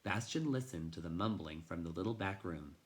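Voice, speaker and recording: A man reading a children's novel aloud in an expressive, dramatic way, moving between hushed and intense delivery. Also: low voice, brisk. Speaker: teacher reading a storybook aloud.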